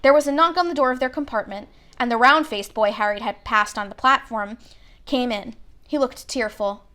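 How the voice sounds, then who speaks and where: High-pitched woman reading a storybook. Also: moderate pace, bright, forceful tone. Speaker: read-aloud narrator. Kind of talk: expressive storybook narration.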